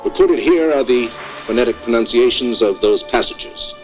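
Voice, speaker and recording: An actor playing a formal history professor logging sinister discoveries, measured and portentous, in a horror film. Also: male voice, mid-range voice, lively intonation, darkish tone, muffled recording, soft background music, lightly acted. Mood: formal, ominous. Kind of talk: scripted horror film recorded-log narration.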